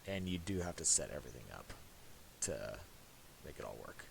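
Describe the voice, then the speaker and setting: A man giving a casual, unscripted software tutorial, muttering and thinking aloud in a halting way. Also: extremely low pitch, sing-song, vocal fry. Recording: hissy home recording with mouse clicks.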